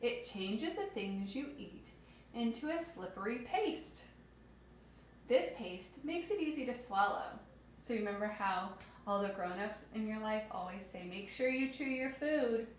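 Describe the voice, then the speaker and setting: Woman, teacher reading aloud to kids, expressive, simple explanations, medium pitch, very echoey room, faint noise. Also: a little slow. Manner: expressive, explains simply with questions to young listeners. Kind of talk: children's read-aloud lesson with asides.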